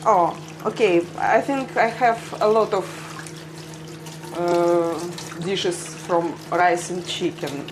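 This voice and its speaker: A woman's voice: lowish voice, background noise. A young woman with a Ukrainian accent chatting casually on a livestream, laughing often and speaking in slightly broken English. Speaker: livestream vlogger. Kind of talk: casual livestream chat reading viewer comments. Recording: music playing behind.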